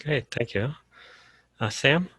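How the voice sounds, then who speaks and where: A man from Colorado teaches over a video call in an easygoing way, often pausing on "uh." His voice is bright and forward. The sound is close and dry, with almost no room in it. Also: medium pitch, slightly rough, dry recording. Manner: relaxed, frequent "uh" fillers. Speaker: course instructor. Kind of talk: casual online class lecture.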